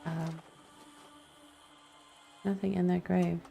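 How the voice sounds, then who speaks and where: Female game streamer, casual and low-key commentary with vocal fry, over audible background music. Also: little pitch movement, takes it slowly, dark tone. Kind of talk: casual let's play commentary. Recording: music playing behind. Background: clear background music.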